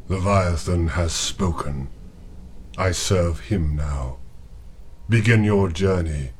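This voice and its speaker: A man's voice: low voice, takes it slowly, a little mellow, slight background noise. An actor playing a stern, devout male keeper of a demonic order.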